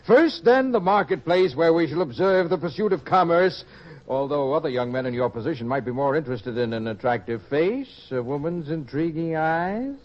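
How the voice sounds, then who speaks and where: A male actor plays a smooth, worldly hedonist coaxing someone younger in an old-time radio play. He speaks in a fairly high voice with theatrical, lingering phrases and oily courtesy. The recording is a little dull. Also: expressive, natural voice. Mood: sly, coaxing. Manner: ingratiating, theatrical, drawn-out phrases. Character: smooth-talking, worldly hedonist man luring a youth. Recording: muffled radio-play audio.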